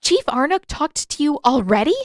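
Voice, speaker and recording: Woman voicing a high, fiery teenage girl. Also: sing-song, moderate pace, dry recording, studio quality. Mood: flustered. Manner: exasperated, emphatic, argumentative. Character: fiery, indignant, defiant teenage girl waterbender. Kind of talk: audiobook-style fan fiction character reading.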